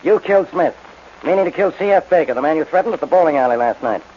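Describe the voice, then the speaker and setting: Male actor as exasperated, brusque inspector, animated delivery, vintage radio crime drama with light hiss. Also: fairly high pitch, fast, lightly acted. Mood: irritated. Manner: brusque, bossy, grumbling. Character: gruff, irritable male police inspector, easily exasperated. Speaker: police inspector. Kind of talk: 1940s radio acting. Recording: dull, noisy 1940s radio recording.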